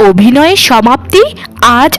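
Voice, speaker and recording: A woman's voice: sits high, very animated, unhurried. A woman voicing a wronged, firm and defiant young daughter-in-law in a cartoon. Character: wronged young daughter-in-law turned bold, threatening giantess. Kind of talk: cartoon voice acting.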